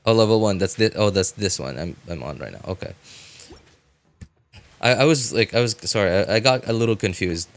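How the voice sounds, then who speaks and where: A man giving casual live game commentary as a streamer, rambling and halting, often breaking off mid-thought to react. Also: on the low side, animated.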